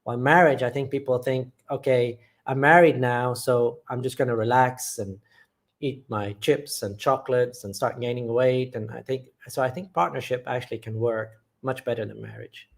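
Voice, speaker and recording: A man from England runs a casual live chat on his channel. He reads viewer comments aloud and answers each one with plain, blunt opinions, speaking at a middling pitch in an everyday, conversational way. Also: steady tone, moderate pace, creaky. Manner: matter-of-fact, blunt opinions. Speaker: channel host.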